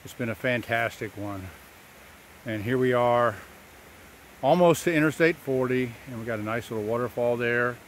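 A relaxed man chats to a handheld phone camera as he walks a wooded trail and crosses a road bridge. His voice sits at an ordinary middle pitch, and faint traffic hums in the background of the outdoor recording. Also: moderate pace. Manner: relaxed, chatty, talking to camera while walking. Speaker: hiker vlogger. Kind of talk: casual hiking vlog narration.

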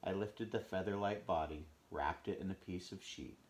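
A man in his fifties reading a children's storybook aloud in an expressive, animated way at home. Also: deep voice, moderate pace. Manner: animated storytelling with asides to the listener. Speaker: storybook reader. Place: small furnished room at home.

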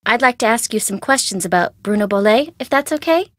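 An American actress playing a perky, curious, chatty young woman amateur sleuth in a video game, with an expressive, girlish manner. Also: fairly high pitch, brisk, dry recording, clean studio sound. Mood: curious, questioning. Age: young adult. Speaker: voice actor. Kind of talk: video game character dialogue.

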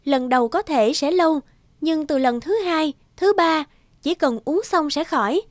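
High-pitched young peasant girl. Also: measured pace, dry recording, studio quality. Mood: firm, businesslike. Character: slim young peasant woman on a secret mission. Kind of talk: Vietnamese animated moral tale voice acting.

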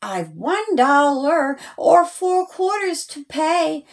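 A woman's voice: high-pitched, very animated, slow pace, voice pushed up, heavily acted. A woman voicing a friendly, eager young boy in a children's storybook, earnest and sing-song. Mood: polite.

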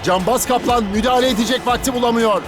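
A man playing a lively, dramatic male football co-commentator in a cartoon dub. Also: very high voice, quick pace, music in the background. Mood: excited.

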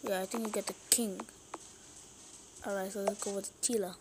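A person giving casual, rambling, low-key commentary while playing a mobile game. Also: flat delivery, moderate pace, noisy background. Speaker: gaming video uploader. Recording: loud game music behind voice. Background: game music.

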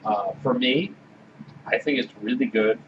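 A man hosting a casual beer-tasting podcast who speaks in a measured way and steers the talk. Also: medium pitch, far from the mic. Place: small room with orange walls. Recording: dull sound with faint hiss.

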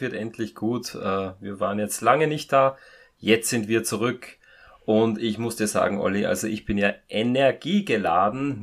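A man co-hosting a casual podcast chat. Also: lowish voice. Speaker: podcast co-host.

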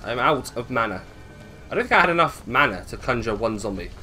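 A man's voice: mid-range voice, very animated, a little fast, sharp tone, faint music behind. A British man giving casual gameplay commentary, thinking aloud, swearing and questioning himself as he goes. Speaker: gaming video host.

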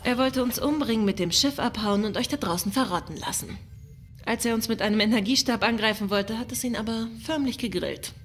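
A woman's voice: on the high side, a little fast, faint music behind, close to an everyday voice. A woman playing a worried, emotional captain's wife in a sci-fi horror radio drama. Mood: anxious.

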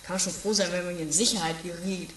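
A woman's voice: on the low side, fairly even intonation, quick pace, a bit bright, echoey room, far from the mic, slight background noise, lightly acted, poor audio. A woman playing a harried, impatient woman who mutters to herself in an improvised audio play.

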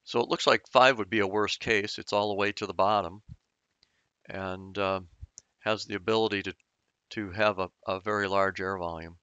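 A man's voice: fairly low pitch, fairly even intonation, moderate pace, bright, forceful tone, clear, resonant tone, dry recording, dull audio. A man explaining engine diagrams in an unscripted voice-over, thoughtful and hesitant with many fillers and restarts. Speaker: channel host explaining engine repair.

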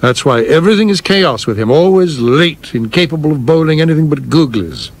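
An actor in a radio play as a cautious, scholarly theater producer, measured and formal, with a dry wit. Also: male voice, a little high, expressive. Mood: wry, reflective. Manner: measured, literal, dryly witty, formal wording.

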